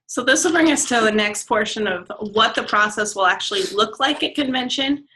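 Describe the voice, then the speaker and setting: A young woman serving as a state student officer, calmly explaining convention steps on a conference call, reassuring and dotted with "um"s. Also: on the high side, brisk, poor audio. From Nebraska. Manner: friendly, reassuring, with frequent "um"s.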